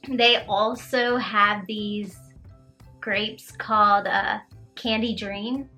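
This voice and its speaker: A woman's voice: medium pitch, steady tone, extremely slow, bright, forceful tone, faint music behind, rough recording. A young woman from Georgia, chatting casually to camera in a home kitchen, rambling and giggly. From Georgia, USA. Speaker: lifestyle vlogger. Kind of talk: casual vlog chat to camera.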